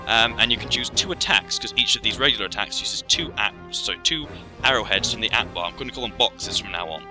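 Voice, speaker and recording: Casting: male game commentator, low register, rambling casual tutorial style, game music underneath. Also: expressive, brisk. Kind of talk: casual gameplay explanation. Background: video game music.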